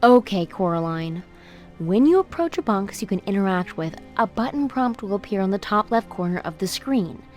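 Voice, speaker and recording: A woman playing a busy, irritated mother in a video game, brisk, firm and dismissive. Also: medium pitch, animated, a little mellow, soft background music, lightly acted. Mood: instructive. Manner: exasperated, firm. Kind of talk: video game cutscene voice acting with tutorial instructions.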